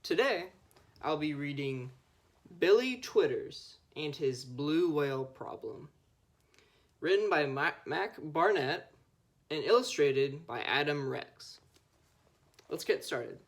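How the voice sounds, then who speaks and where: A young female Scout reading a children's picture book aloud, with expressive storytelling. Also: low voice, takes it slowly, long pauses. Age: child or teenager. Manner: expressive storytelling with acted dialogue. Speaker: Scout volunteer reader.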